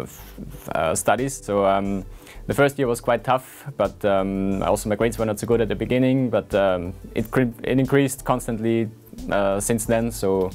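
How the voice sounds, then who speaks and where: Young man, lowish voice, earnest with lots of fillers, faint music behind. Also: darkish tone. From Austria. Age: 20s. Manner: earnest, many fillers and restarts. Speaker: PhD student. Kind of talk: scientist portrait interview.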